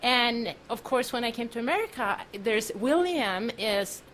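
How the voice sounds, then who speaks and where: A woman's voice: fairly high pitch, animated, measured pace, fairly bright tone, slight background noise. A woman from Norway with a Norwegian accent, a guest chatting casually on a radio show and laughing often. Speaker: guest practitioner.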